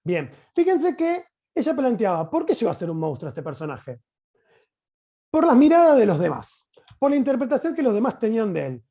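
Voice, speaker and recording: High-voiced, animated Argentine man presenting. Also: normal speed. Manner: expressive, emphatic, uses rhetorical questions. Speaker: teacher presenting at a conference. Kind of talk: explanatory conference talk. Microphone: video call.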